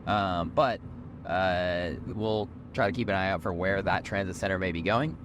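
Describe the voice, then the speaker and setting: Young male co-host, low voice, hoarse and tired, loose rambling chat, car road noise underneath. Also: normal speed. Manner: rambling, self-correcting, chatty. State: hoarse, short on sleep. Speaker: podcast co-host. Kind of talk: casual chat on a road trip. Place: moving car.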